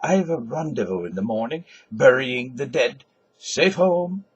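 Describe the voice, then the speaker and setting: An older man voicing a cheery, breezy man taking his leave in a literary reading, loud and offhand. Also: medium pitch, expressive, measured pace, slightly rough, dull audio.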